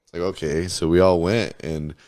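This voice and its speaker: A hoarse man in his twenties from New Mexico, a podcast guest telling candid, profane stories in heavy slang. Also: low-pitched, steady tone, normal speed, a little mellow. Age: 20s. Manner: laid-back, profane, storytelling, heavy slang. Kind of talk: casual candid interview chat.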